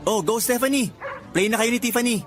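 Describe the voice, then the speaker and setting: A male actor playing an upbeat, loyal young suitor in a Filipino radio drama, chummy and animated. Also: very high voice, a little fast, over loud music.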